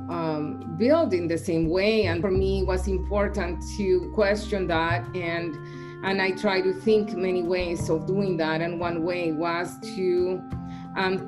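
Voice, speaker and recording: A woman in her forties from El Salvador, an artist and professor, speaking thoughtfully at home over a webcam with frequent um and uh pauses. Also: lowish voice. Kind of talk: reflective interview monologue. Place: indoor home room. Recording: music playing under the voice. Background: guitar music.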